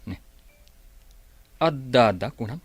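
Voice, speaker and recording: Radio drama role: taunting, meddlesome man with lively, swooping delivery. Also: mid-range voice, takes it slowly, breathy edge, no room sound. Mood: mocking. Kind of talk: Filipino radio drama acting.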